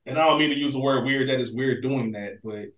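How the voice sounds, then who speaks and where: A man's voice: medium pitch, quick pace, a bit bright. A man co-hosting a podcast, explaining his points in casual, analytical chat. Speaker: podcast co-host. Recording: echoey room.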